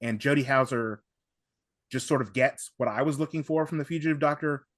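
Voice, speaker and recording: A man with a mid-pitched voice chats casually as a podcast guest. He speaks effusively, circling back over phrases and leaning on strong superlatives. The sound is close and dry. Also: fairly quick, fairly bright tone, no room sound. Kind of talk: casual podcast chat, recommendations.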